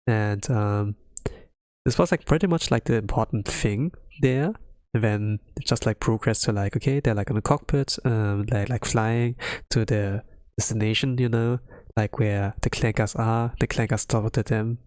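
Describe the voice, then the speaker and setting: A man streaming casual commentary on a game story, rambling and halting with many filler words, over music. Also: fairly low pitch, darkish tone, dry recording, clean studio sound. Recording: music under the voice.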